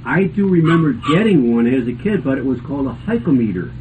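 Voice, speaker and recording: A man's voice: mid-range voice, lively intonation, fairly quick, a little mellow. An older man, a radio club panelist, reminiscing in a casual, jovial, rambling way. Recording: noisy phone-like lo-fi radio sound.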